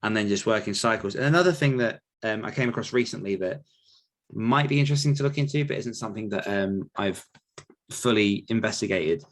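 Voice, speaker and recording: A man's voice: on the low side, a little fast. A man talking casually as a podcast host, rambling a little as he thinks aloud while answering questions. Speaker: podcast host and author coach.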